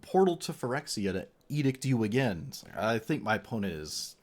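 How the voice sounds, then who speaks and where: A man's voice: fairly low pitch, sing-song, brisk. Male streamer, conversational unscripted game commentary, opinionated asides, slightly tired voice. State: voice getting tired, losing it. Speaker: streamer reviewing card game decks.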